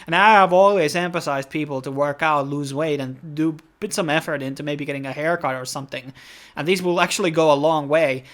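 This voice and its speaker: Guy rambling through an anime review, lots of likes and ums, voice a bit high. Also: fairly even intonation, a little fast, a bit bright. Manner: rambling, heavy on fillers like "like" and "um". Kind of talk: casual video review.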